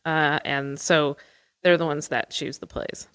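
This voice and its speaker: A woman's voice: low-pitched, level tone, unhurried, fairly bright tone, clean tone. A woman, an arts administrator, explaining conversationally as an interview guest.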